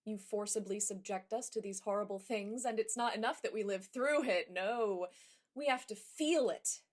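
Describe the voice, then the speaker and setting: A woman's voice: medium pitch, normal speed, close to an everyday voice. A woman playing a wary, troubled young patient in a radio drama, guarded and doubting. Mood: challenging. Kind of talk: modern radio drama acting.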